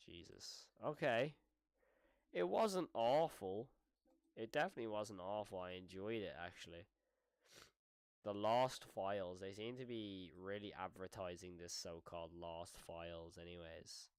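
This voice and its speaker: A man's voice: sits low, measured pace, clear, resonant tone, no echo. A man doing casual live reaction commentary as a streamer, rambling and thinking aloud with some mild swearing.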